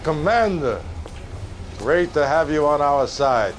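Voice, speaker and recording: A self-assured political director in a video game cutscene. His polished, emphatic oratory swings widely in pitch, with faint ambient noise behind. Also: lively intonation, slow. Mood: rousing. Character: smooth, self-assured male politician, acting military director.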